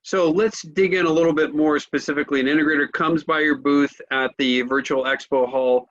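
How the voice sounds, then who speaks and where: A man's voice: on the high side, steady tone, fairly quick, a bit bright. Male interviewer, dull lo-fi sound. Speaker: trade press interviewer and host. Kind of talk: structured interview hosting.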